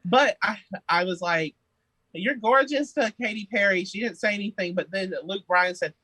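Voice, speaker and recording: A man's voice: high-pitched, moderate pace, pushed and bright, breathy edge, dry recording, low-quality recording. A young man, a singer, chatting playfully as a podcast guest and laughing often. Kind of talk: casual interview chat.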